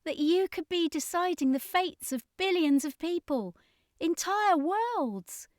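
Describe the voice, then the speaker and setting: A woman's voice: extremely high pitch, lively intonation, takes it slowly, dry recording, clean studio sound, pitched above the performer's own voice, exaggerated voice. A woman voicing a troubled, idealistic young circus member in an audiobook, with urgent questioning. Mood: shocked, pleading.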